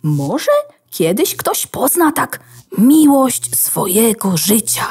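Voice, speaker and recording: A woman's voice: on the high side, sing-song, slow pace, a little mellow, breathy edge, full character voice. A woman playing a cheeky, romantic, risk-loving loose computer contact in a children's audio drama, slangy and theatrical. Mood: teasing, dreamy.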